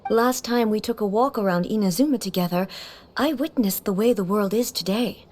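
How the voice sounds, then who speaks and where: An actress playing a solemn, regal, ageless female ruler in a video game, speaking in a formal, measured, grave manner. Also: medium pitch, a little mellow, a little hiss or hum, natural voice. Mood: reflective. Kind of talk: video game character acting. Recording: background music behind the voice.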